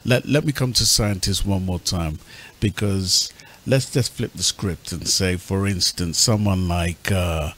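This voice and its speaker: A man hosting a panel discussion, phrasing his questions in a measured way with pauses. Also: sits low, fairly bright tone. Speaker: talk show host. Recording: faint background noise and ambience.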